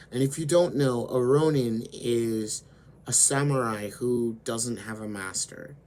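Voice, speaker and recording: A man with a hoarse voice, slowly retelling an anime story as host of his own channel. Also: mid-range voice, a little mellow. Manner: slow, deliberate storytelling with frequent fillers.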